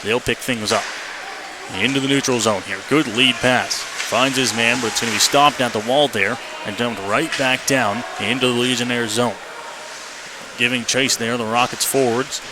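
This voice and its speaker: Over the steady noise of a live arena feed, a man commentates ice hockey for radio. His voice sits at a medium pitch. He follows the play in clipped, continuous phrases, in the manner of a play-by-play announcer. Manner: clipped running phrases, rising to excited calls on shots.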